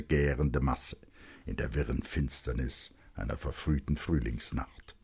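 Deep, husky male literary narrator. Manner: expressive and dramatic, swelling in urgency and dropping to hushed tones. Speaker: narrator, audiobook reader. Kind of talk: literary scripted narration, German radio storytelling.

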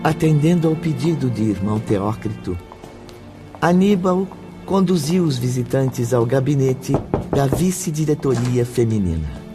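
A man narrating scenes of a spiritual radio play in a steady way. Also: medium pitch, measured pace, music in the background, lightly acted.

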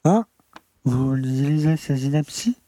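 A man's voice: medium pitch, lively intonation, takes it slowly, warm tone, slight background noise. A man playing a pompous, condescending and evasive small-town mayor in an audio drama. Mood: haughty, insistent. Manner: pompous, patronizing.

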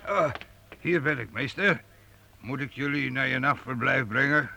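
A man's voice: medium pitch, measured pace, creaky, bright, forceful tone, full character voice. An actor playing a timid, anxious medieval gatekeeper in a radio play, hesitant and repeating his words. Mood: uneasy, helpful.

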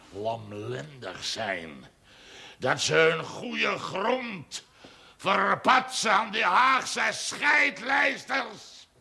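Furieuze, schorre dorpeling met hoge stem. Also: male voice, high voice, creaky, slightly rough, exaggerated voice. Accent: Dutch. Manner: ranting, shouting, emphatic, coarse-mouthed. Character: furious, aggrieved village landowner and father. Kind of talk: television period drama acting.

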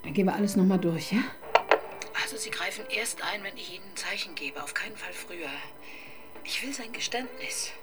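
Actress as resolute woman detective, brisk, hushed orders, soft music under. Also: medium pitch, lightly acted. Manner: commanding, brisk, often whispering. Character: brisk resolute female chief inspector. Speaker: police detective. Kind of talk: radio crime drama acting.

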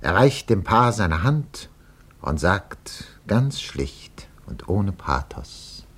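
Deep, theatrical radio announcer. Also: male voice, sing-song, extremely slow, fairly bright tone, breathy edge, full character voice. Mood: mock-solemn. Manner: theatrical, mock-solemn. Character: fussy, flustered, melodramatic male radio announcer. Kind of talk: 1950s German radio drama narration, parody reportage. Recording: 1950s radio recording, faint noise.

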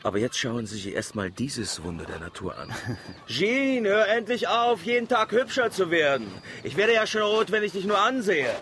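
A man playing a self-assured marine biologist and uncle in a radio drama, jovial, flirtatious and gently mocking. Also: high voice, moderate pace, slight background noise.

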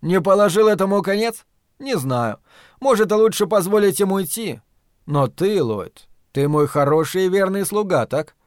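A man voicing a sinister, commanding dark master who taunts and purrs with false tenderness in an audiobook. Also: sits high, animated, normal speed, no echo, studio quality, pitched above the performer's own voice. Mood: smug, menacing. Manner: imperious, taunting, swinging from roars to purring.

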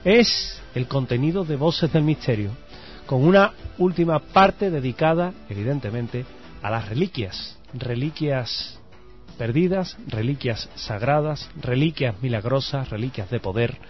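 Man, Spain accent, scripted radio hosting, deliberate phrasing, slightly muffled sound, light music. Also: medium pitch, expressive. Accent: Spanish from Spain. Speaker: radio show host. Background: background music.

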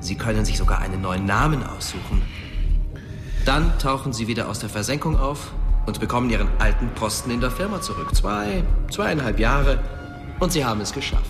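An actor playing a smooth, coercive corporate schemer in a science fiction radio drama. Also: male voice, medium pitch, animated, brisk, close to an everyday voice. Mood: persuasive. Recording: very echoey hall, music behind.

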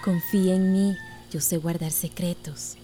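An actress with a Central American accent playing an earnest, insistent village schoolteacher in a radio drama. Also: female voice, lowish voice, steady tone, a little slow, warm tone, soft background music, close to an everyday voice. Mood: kind, steady.

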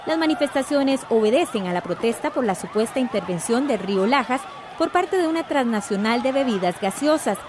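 A woman's voice: fairly high pitch, lively intonation, brisk, background noise, natural voice. A woman playing a composed field reporter in a radio drama, giving a scripted report from the street. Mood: composed, formal.

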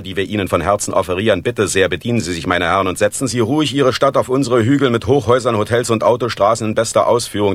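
A male actor plays a smug East German party official in a radio play. The voice is low and very dry, with a declamatory, sardonic delivery that turns every sentence into a cynical speech. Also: dry recording, close to an everyday voice. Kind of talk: theatrical radio play monologue.

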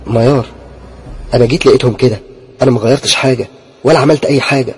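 A man with an Egyptian accent playing a nervous young man who protests and worries in a hurried voice. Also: mid-range voice, steady tone, a little mellow, slight background noise, close to an everyday voice. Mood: defensive. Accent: Egyptian Arabic.

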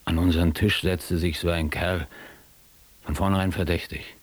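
An actor playing a worldly, ironic young East German writer looking back in a radio drama. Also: male voice, deep voice, fairly even intonation, normal speed, breathy edge, no room sound. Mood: storytelling, wry. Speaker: actor playing narrator and lead. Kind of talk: radio drama narration and dialogue.

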